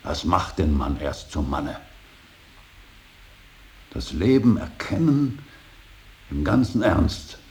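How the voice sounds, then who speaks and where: An actor playing a stern, earnest older painter and professor in a radio play, preaching duty with emphatic, deliberate repetition. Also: male voice, sing-song, darkish tone, heavily acted. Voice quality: gravelly, very deep. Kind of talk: German radio play acting. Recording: hissy radio drama recording.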